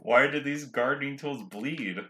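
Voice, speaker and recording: A man's voice: mid-range voice, unhurried, a bit bright. A man casually describing his character's moves as a player in a tabletop role-playing game. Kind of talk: casual role-play chat.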